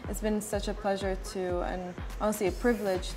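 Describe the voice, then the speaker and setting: A woman's voice: mid-range voice, little pitch movement, brisk, over music. Enthusiastic young-sounding interview guest, woman. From grew up in Dubai. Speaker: fashion consultant, guest.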